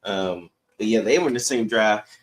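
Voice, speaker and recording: A man hosting a sports podcast, chatting casually in a halting way with repeated words. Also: lowish voice.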